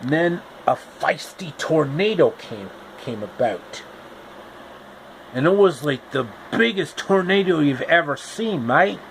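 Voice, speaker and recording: Male storyteller, fairly high voice, slow and rambling, casual reading. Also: animated, vocal fry, slight background noise, rough recording. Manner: loose, rambling narration with restarts. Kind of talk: casual improvised story retelling.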